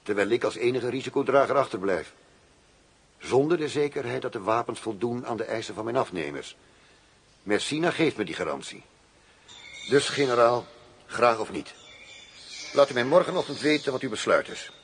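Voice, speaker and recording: An actor playing a cool, demanding arms buyer in a radio drama, formal, firm and self-assured. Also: male voice, medium pitch, animated, normal speed, pauses often, lightly acted. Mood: firm, businesslike. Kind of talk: Dutch radio drama acting. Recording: noisy old radio drama recording.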